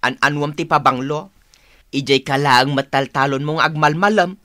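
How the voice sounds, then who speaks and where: A woman playing an exasperated younger woman in a radio drama, expressive and protesting, with an Ilocano accent. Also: deep voice, normal speed. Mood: scolding. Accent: Ilocano, northern Philippines.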